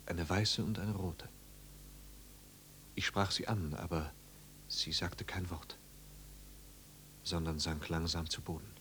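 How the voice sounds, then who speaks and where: A troubled young man in an old radio play, low-voiced and very slow. He sounds subdued, melancholy and trance-like, over some hum and noise. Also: a bit bright. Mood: dreamy, eerily calm. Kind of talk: 1950s radio drama acting. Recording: hum and hiss of 1950s radio.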